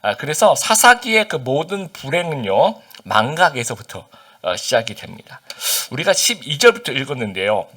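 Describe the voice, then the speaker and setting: Male pastor, from Busan, faint Busan accent, lively rising-and-falling pitch, chatty sermon delivery, asks the room questions. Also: moderate pace. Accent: slight Busan dialect under standard Seoul Korean. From Busan, South Korea. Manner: conversational, frequent hesitation fillers, asks the congregation questions.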